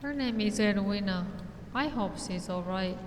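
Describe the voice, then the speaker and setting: An earnest, guarded young female mage from a fantasy game , acted by a woman. Her voice rings in a long hall reverb, with some faint noise and rumble around it. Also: measured pace, natural voice. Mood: worried, confiding. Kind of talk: scripted fantasy video game dialogue.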